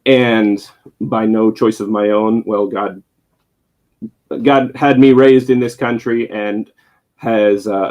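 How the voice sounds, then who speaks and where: A man's voice: fairly low pitch, a little mellow. A young man who grew up in Spain, a missionary guest answering interview questions in a hesitant way, with frequent ums and restarts. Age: young adult. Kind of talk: interview conversation.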